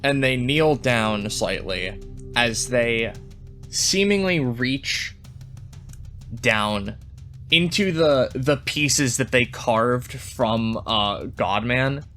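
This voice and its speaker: A man of medium pitch narrates slowly as a player in a tabletop game. He builds his descriptions in halting phrases, with faint music behind him. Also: pushed and bright.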